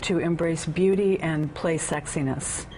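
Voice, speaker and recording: A woman's voice: sits low, a little slow, a little mellow, a little hiss or hum, rough recording. A woman speaking casually in a conversational discussion.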